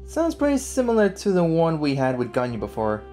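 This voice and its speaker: Female let's player: casual and slangy, fairly low register, animated reactions over game music. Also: fairly quick. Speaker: let's play streamer. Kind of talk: casual gameplay commentary.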